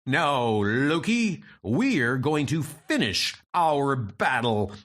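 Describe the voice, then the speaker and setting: A man's voice: medium pitch, very animated, very slow, a bit bright, heavily acted. A man voicing a mighty thunder god in a comic book dub, speaking solemnly and formally. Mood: resolute.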